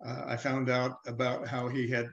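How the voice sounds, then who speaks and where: An older man, a retired professor, answering interview questions in a measured, hesitant way with many pauses and fillers. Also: mid-range voice, monotone, roomy sound, dull audio. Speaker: emeritus professor, guest.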